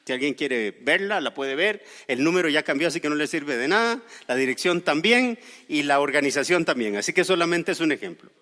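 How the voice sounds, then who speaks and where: A man's voice: fairly high pitch, lively intonation, fairly quick, bright, forceful tone, in a large hall. A man from Costa Rica preaching a sermon, explaining scripture with personal stories and light humor. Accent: Costa Rican Spanish. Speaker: pastor. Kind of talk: sermon with storytelling.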